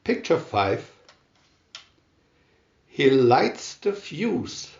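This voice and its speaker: A man narrates a children's storybook with great deliberation, taking his time over each phrase. His intonation rises and falls in a lively, expressive way. The recording is slightly muffled and sounds like a reverberant room. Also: a little high, very slow, creaky. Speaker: storybook reader.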